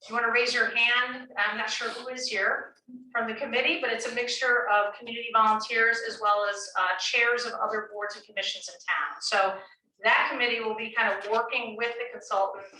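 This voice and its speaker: A woman's voice: on the high side, bright, forceful tone. A woman, a town official, giving friendly opening remarks at a town meeting, with frequent ums, heard from a distance. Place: senior center meeting room. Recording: echoey room, distant mic, faint hiss.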